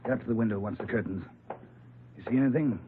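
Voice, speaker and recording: An actor playing a brisk, commanding police captain in a mid-century radio drama, barking out orders. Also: male voice, medium pitch, noisy background, natural voice. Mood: alert. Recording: dull old radio, very loud music.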